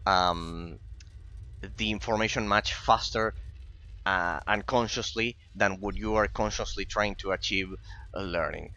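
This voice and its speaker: Bright-voiced man with a European accent. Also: on the low side. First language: not English. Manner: rambling, with frequent repeated words. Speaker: gaming streamer and English teacher. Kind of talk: casual commentary over gameplay.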